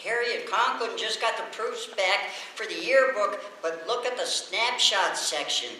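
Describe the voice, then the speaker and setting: A man's voice: high-pitched, measured pace, bright, forceful tone, heavily acted. A man playing an earnest, plaintive adolescent schoolboy in old-time radio comedy style. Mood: fretful. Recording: echoey hall with faint noise.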